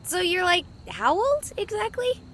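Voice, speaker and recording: A woman playing a nosy, excitable young streamer in a visual novel, with a casual, sarcastic way of talking. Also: very high-pitched, a little slow, slight background noise, full character voice. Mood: curious.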